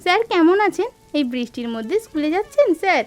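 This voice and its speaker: Woman doing eager young village girl in a cartoon, high bouncy voice, respectful, music under it. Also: sing-song, fast. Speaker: village woman collecting funds.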